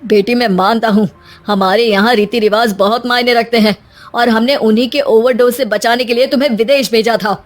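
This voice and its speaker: A woman's voice: a little high, fairly even intonation, fairly quick, natural voice. A woman voicing a stern, disappointed middle-aged traditional father in a cartoon story, reproachful and lecturing.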